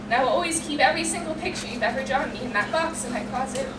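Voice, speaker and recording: A woman's voice: high voice, rapid-fire. A young woman in her late teens earnestly reading her own heartfelt poem aloud at a school poetry reading. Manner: earnest and heartfelt, emotional, reads in long run-on lines. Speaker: student poet. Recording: echoey room, distant mic, loud ambient noise.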